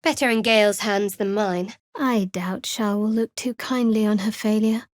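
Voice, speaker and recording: A woman playing a guarded, sardonic young female cleric of a dark goddess in a video game, speaking in a measured, wry way. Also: medium pitch, dry recording, clean studio sound, close to an everyday voice. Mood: measured, serious.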